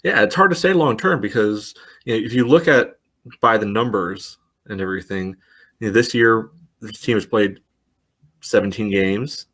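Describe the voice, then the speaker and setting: A man co-hosting a casual sports podcast, dry and wry, reeling off stats at a steady clip. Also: lowish voice, moderate pace, breathy edge. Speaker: podcast co-host.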